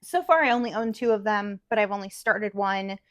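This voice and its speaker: A woman's voice: mid-range voice, lively intonation, a little fast, no echo. A woman talking casually and at length, her thoughts looping through fillers and self-corrections. Her voice is slightly worn, as if she is losing it. The sound is dry and close, with no room around it. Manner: rambling, many fillers and restarts. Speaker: podcast co-host. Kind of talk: casual chat about cross-stitch projects.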